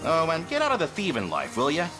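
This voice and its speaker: An actor voicing a rough-talking bandit from a thieving gang in a video game, using folksy slang. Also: male voice, fairly high pitch, huge pitch swings, fast talker, over music. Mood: gruffly caring. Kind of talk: scripted video game voice acting.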